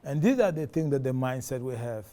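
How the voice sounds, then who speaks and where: South Sudanese man, halting, mellow voice. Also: mid-range voice, lively intonation. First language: a South Sudanese language. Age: 40s. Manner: halting, many fillers and restarts. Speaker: interviewee. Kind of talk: personal testimony.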